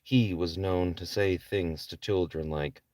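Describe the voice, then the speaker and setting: A man's voice: sits low, fairly even intonation, unhurried, a little mellow, no echo, studio quality. A man reading his own novel aloud as a scripted audiobook narration. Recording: distant microphone.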